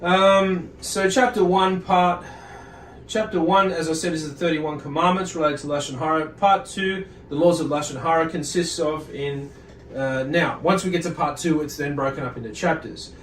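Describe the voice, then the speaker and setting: A man giving an informal religious lesson, speaking in a halting, deliberate way and often correcting himself as he explains. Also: a little high, steady tone, a bit bright, a little hiss or hum. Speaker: religious teacher. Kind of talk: informal religious lecture, reading and explaining from a book. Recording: roomy echo, distant microphone.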